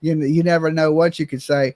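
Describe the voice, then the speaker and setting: A man's voice: a little high, level tone, quick pace, mellow, no echo. A man hosting a casual chat, blunt and opinionated, swearing now and then.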